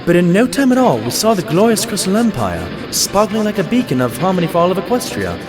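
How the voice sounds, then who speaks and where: A man playing a loyal pony doctor, telling his adventures as scripted, gentlemanly narration in an audio drama. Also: sits high, very animated, fast, music in the background. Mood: reflective, wondering. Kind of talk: scripted first-person narration.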